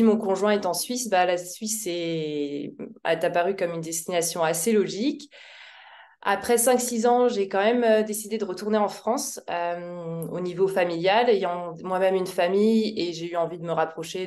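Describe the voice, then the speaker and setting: A woman in her thirties giving a casual career testimony on a video call, with relaxed fillers and small restarts. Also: medium pitch. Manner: casual, with fillers like bah and hein. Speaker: guest speaker.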